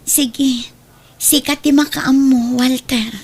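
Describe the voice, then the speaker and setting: A woman playing a soft, affectionate young bride in an audio drama, with an Ilocano Filipino accent. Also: sits high, fairly even intonation, slow. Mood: tender. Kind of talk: melodramatic radio-style drama acting.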